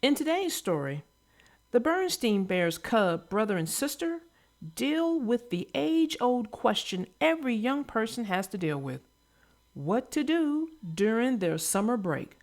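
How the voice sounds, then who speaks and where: A woman's voice: fairly high pitch, very animated, unhurried, dry recording. A woman narrating a children's read-aloud story, addressing young listeners directly as host.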